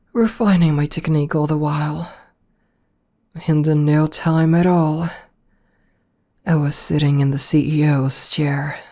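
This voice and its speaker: A woman playing a possessive, seductive rich CEO hypnotist in an ASMR audio roleplay, telling her story deliberately and with self-assurance. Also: sits low, dark tone. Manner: slow, deliberate first-person storytelling. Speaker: voice actor.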